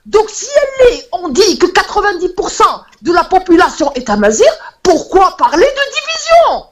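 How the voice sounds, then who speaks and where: A woman's voice: very high-pitched, very animated, normal speed. A woman, a debate guest who argues emphatically and repeats her questions. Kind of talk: heated political debate.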